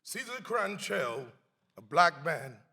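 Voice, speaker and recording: A man giving a dramatic reading of a historic account, theatrical and deliberate, with swelling emphasis. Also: on the high side, big pitch swings, creaky, sharp tone, slightly rough. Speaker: actor reading aloud. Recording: long hall reverb.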